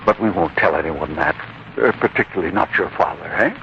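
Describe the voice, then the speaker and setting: A stodgy but kindly old man of learning. His delivery is avuncular, humble and gently halting, in 1940s radio drama style. The audio is dull and faintly noisy. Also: low voice, gravelly, exaggerated voice. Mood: fond, teasing.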